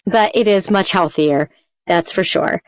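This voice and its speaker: A woman's voice: on the low side, a little slow, dry recording. A woman hosting an interview, chatty and enthusiastic, with many fillers. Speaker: interview host. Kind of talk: casual interview chat.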